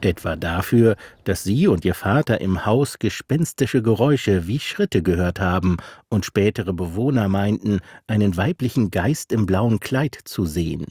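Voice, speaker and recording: A man narrating a radio feature, measured and literary, and interviewing an author. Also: fairly low pitch, a little mellow, slightly rough, no echo, studio quality. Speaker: radio reporter. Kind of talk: scripted narration.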